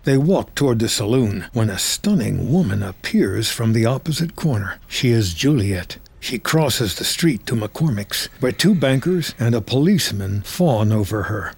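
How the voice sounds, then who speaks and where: A man's voice: mid-range voice, animated, moderate pace, vocal fry, few pauses, a little mellow, husky. A man reading scripted screenplay narration in the present tense, with a terse, descriptive storytelling delivery.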